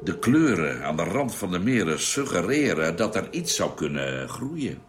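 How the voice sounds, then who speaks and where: Ship's doctor for sci-fi radio play: low, thoughtful, explanatory, audible noise behind. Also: male voice, sing-song, normal speed. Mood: thoughtful, observing. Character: thoughtful male doctor aboard a Mars spaceship. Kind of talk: Dutch radio play acting. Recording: noisy radio drama recording.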